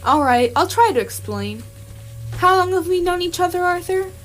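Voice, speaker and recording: A woman playing an unflappable alien man passing as human in an amateur radio comedy, brisk and matter-of-fact. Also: very high-pitched, expressive, a little hiss or hum. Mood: offhand, urgent. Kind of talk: amateur radio theatre acting.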